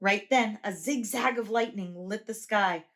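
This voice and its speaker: A preschool teacher reading a children's picture book aloud, clear, slow and expressive. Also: female voice, mid-range voice.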